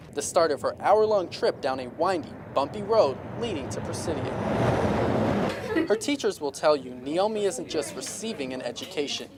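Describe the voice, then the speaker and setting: A man's voice: on the high side, noisy background. A man working as a television news reporter, delivering scripted reports and stand-ups on location.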